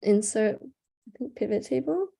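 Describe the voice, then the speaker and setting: A young woman runs a live video-call tutorial through a headset, talking in a loose, casual way full of ums and likes. Her voice sits on the mellow, darker side, and a sore throat keeps her clearing it. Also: fairly high pitch, animated, measured pace. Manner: casual, chatty, frequent "um" and "like". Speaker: workshop instructor. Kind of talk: live online workshop screen-share tutorial.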